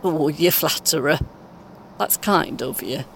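A woman playing a kindly, encouraging British grandmother in her eighties in an audio play, speaking gently and reassuringly. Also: takes it slowly, heavily acted. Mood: warm. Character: kindly, encouraging 81-year-old grandmother on a coach. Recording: clear vehicle rumble noise. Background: vehicle ambience.

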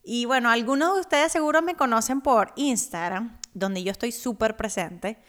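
A woman's voice: a little high, moderate pace, fairly bright tone. A young woman from Cuba hosting her own finance podcast, telling her story in an upbeat, personal way. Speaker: podcast host.

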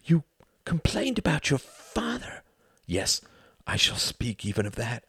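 A man voices an enraged young son in an audiobook, shouting high and hard at his mother, then sinking into bitter accusation. His voice is rough and husky, and its pitch swings widely, recorded dry and close. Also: huge pitch swings, measured pace, no room sound, full character voice. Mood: bitter accusing. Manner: shouting, raging, pleading. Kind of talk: audiobook character voice.

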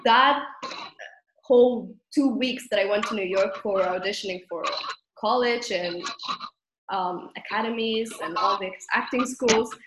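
A woman's voice: mid-range voice, unhurried. A woman from Spain with a Spanish accent, a guest speaking in a casual interview and laughing often as she talks. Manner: animated, laughs often while talking. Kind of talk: conversational interview. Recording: echoey room with background noise.

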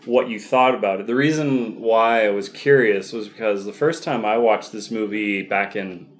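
A man's voice: on the low side, fairly even intonation, moderate pace. A young man co-hosting a casual film podcast, rambling and correcting himself as he talks. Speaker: podcast co-host. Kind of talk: casual chat about films.

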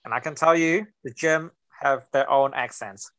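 A man's voice: mid-range voice, moderate pace, a bit bright, no echo. Laid-back guy with a singaporean-kiwi accent. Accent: mixed Singaporean and New Zealand. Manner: laid-back, joking, bro slang. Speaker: podcast co-host. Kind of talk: casual podcast chat.

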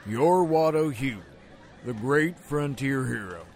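An actor playing a burly, suspicious frontier emissary who gives blunt commands in a western radio drama. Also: male voice, medium pitch, expressive, takes it slowly, darkish tone. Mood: concerned.

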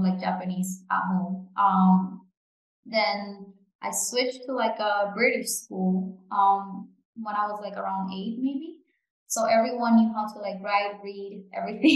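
Chatty young woman in an echoey room. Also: mid-range voice. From Canary Islands, Spain. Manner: chatty, many fillers and "you know". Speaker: podcast co-host. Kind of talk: casual chat.

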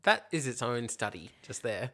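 A woman's voice: a bass voice, sing-song, normal speed, a bit bright. A woman co-hosting a podcast, reacting in casual banter with a sardonic, opinionated manner. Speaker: podcast co-host.

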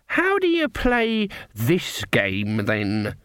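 A man as a fluttery, high-pitched old witch. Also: huge pitch swings, a bit bright, dry recording, studio quality, exaggerated voice. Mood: eager. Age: older. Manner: fluttery, halting, feigned innocence. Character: doddering old country witch feigning naive eagerness. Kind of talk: audiobook character voice.

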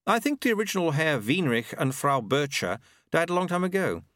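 A man voicing a brisk, practical young woman with a dry, matter-of-fact wit. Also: a little high, very animated, fairly bright tone, no echo, pitched above the performer's own voice. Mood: wry. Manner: crisp, matter-of-fact, wry.

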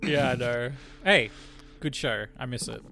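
A man's voice: medium pitch, measured pace, vocal fry, bright, forceful tone. A young man co-hosting a casual podcast, joking and laughing often with disbelief. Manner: laughs often, jokey and incredulous. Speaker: podcast co-host. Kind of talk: casual banter over a game.

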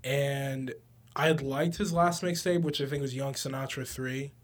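A man's voice: mid-range voice, steady tone, moderate pace. Young man chatting informally. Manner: informal, swearing, slangy. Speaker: podcast co-host. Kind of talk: casual podcast chat about music.

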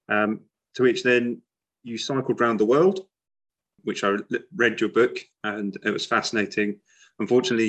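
A man's voice: on the low side, a bit bright. A man hosting a podcast interview, asking friendly questions with lots of hesitations and ums. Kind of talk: casual interview.

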